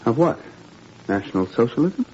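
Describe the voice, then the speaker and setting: A man's voice: mid-range voice, big pitch swings, normal speed, warm tone, natural voice. A man playing an idealistic, troubled father in a 1940s radio drama, earnest and probing with wry irony. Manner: probing, earnest, wryly ironic. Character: idealistic French father, troubled, principled, quietly ironic. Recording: muffled, noisy old radio recording.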